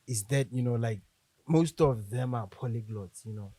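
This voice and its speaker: A man's voice: mid-range voice, moderate pace, mellow. A male podcast host asking questions in a loose, chatty way, full of "like" and "you know". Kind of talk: casual interview chat.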